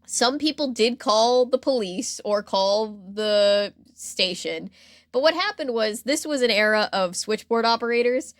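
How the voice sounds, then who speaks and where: A woman hosting a podcast, casually retelling history in a chatty, animated way with lots of filler "like". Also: on the high side, unhurried, no echo, studio quality. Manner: chatty, animated retelling with acted-out quotes and frequent "like". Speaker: podcast host. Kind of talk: casual storytelling about pop culture history.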